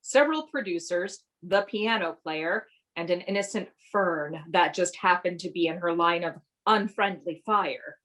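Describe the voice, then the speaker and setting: An actress playing a poised, wry friend who also narrates in a radio play. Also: female voice, lowish voice, a little slow, a bit bright, poor audio. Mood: wry narration.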